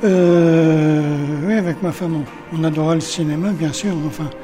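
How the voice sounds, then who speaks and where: A man's voice: on the high side, steady tone, moderate pace, music in the background. An older man from Paris, interviewed at a cinematheque, talking hesitantly with many restarts and fillers. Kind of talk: casual interview. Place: cinematheque in a city.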